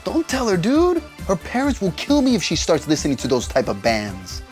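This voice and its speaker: A man's voice: high voice, huge pitch swings, normal speed, a little mellow, faint music behind. A man playing a casual, slangy, protective young guy in an audio drama. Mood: exasperated. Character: protective young man, cousin of Mateo, record store worker. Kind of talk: scripted audio fiction acting.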